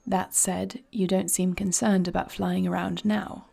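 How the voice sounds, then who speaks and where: A woman playing a noble, devoted warrior queen in an intimate fantasy audio roleplay, husky and breathy, speaking in measured, earnest, formal phrases. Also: lowish voice, little pitch movement, warm tone, dry recording, heavily acted. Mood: concerned, thoughtful.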